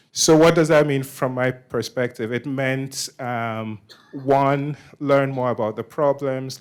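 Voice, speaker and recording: A man from Nigeria presents informally as the host of a meetup. His delivery is halting, and he often repeats words and restarts phrases as he explains. His voice sits at a medium pitch. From Nigeria, West Africa.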